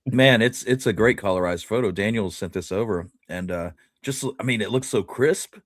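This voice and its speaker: A man's voice: on the low side, normal speed, dry recording, studio quality. A man hosting a casual history chat in an informal, buddy-like manner. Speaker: host steering the discussion. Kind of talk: casual chat about Old West history.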